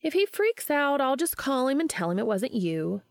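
A woman's voice: high voice, very animated, normal speed, dry recording, studio quality, voice pushed up. A woman voicing a young woman, a teasing, meddling best friend in a story, breezy and gleeful.

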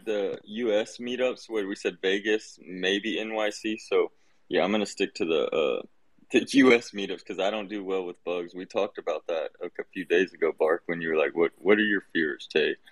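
A man with a fairly low voice talks casually among friends. He is easygoing and slangy, dropping the odd swear. The sound is dry and free of room echo. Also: normal speed. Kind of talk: casual group chat.

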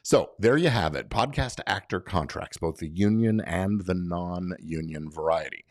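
A man's voice: low voice, normal speed, no echo, studio quality. A man hosting a podcast as a lawyer, explaining things in an informal, plain-spoken monologue.

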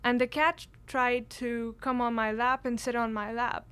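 A woman's voice: fairly high pitch, level tone, a little slow, fairly bright tone, clear, resonant tone. A young woman, earnestly telling a personal story with frequent restarts. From Kashmir, India. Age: late teens. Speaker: exchange student.

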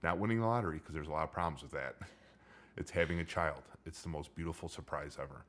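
A middle-aged man with a low voice hosting a casual chat, joking and rambling with many restarts. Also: fast talker, fairly bright tone. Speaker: podcast host.

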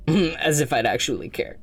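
A woman's voice: low-pitched, animated, measured pace, slightly rough, exaggerated voice. A woman playing a frank, casual, protective friend in a naturalistic audio drama, with blunt, slangy phrasing. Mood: easygoing.

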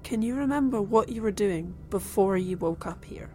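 An actress playing a calm woman held captive on an alien ship, speaking in a soothing, steady way. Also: mid-range voice, moderate pace, soft background music, close to an everyday voice. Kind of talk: audio drama acting.

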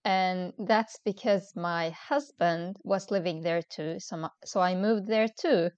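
A woman's voice: lowish voice, a little slow, darkish tone, dry recording. Hesitant young woman guest on a call. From Sweden. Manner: hesitant, many ums and restarts. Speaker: podcast guest. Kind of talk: casual interview chat. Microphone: remote call.

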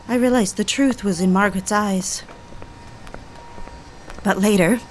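A woman's voice: medium pitch, slight background noise, natural voice. An actress as an emotional, defiant independent woman. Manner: emotional, emphatic, theatrical. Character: defiant, indignant young independent woman, confronting and confiding. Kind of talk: dramatic audio-drama acting and diary-like narration.

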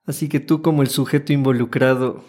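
A man's voice: mid-range voice, fairly even intonation, fairly quick, darkish tone. A man in his thirties with an Ecuadorian Spanish accent, co-hosting a casual, conversational podcast. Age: 30s. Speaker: podcast co-host.

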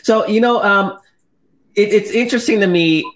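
A man's voice: high-pitched, normal speed, a bit bright, poor audio. A man from El Salvador hosting a political interview, talking bluntly and pointedly with some mild profanity. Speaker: host.

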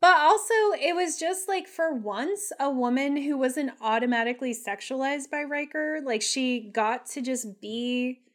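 A woman with a fairly high voice talks through a TV episode as a podcast co-host. She is opinionated and emphatic, and swears freely in a casual, chatty way. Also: measured pace, few pauses. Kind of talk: casual TV episode recap and commentary chat.

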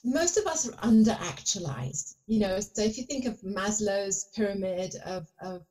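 A woman's voice: mid-range voice, animated, normal speed, mellow, rough recording. A woman guest on a podcast, talking in a rambling way with lots of fillers and restarts. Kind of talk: casual conversation.

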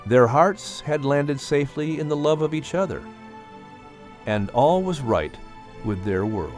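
A man reading a children's audiobook as narrator, in an expressive storytelling style. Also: fairly high pitch, unhurried, soft background music.

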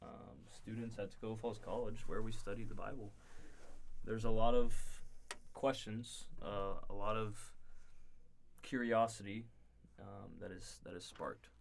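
A man's voice: lowish voice, steady tone, measured pace, gravelly, slight background noise. A man in his twenties co-hosting a casual podcast from a dorm room, earnest and explaining at length with fillers. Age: 20s. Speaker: podcast co-host. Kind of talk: casual chat.

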